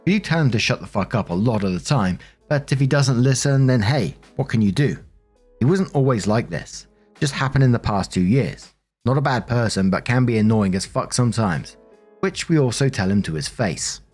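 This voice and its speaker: Man, mid-pitched voice, conversational story narration, informal host delivery, direct address, music in the background. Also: lively intonation, normal speed, a little mellow, husky. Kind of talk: conversational narration of online relationship posts with commentary. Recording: music behind the voice.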